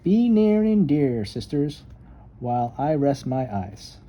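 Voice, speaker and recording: A man's voice: mid-range voice, big pitch swings, takes it slowly, mellow, slight background noise. A man voicing eager, cheerful young female dassies in an emphatic storybook style. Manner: exclaiming, emphatic storybook delivery.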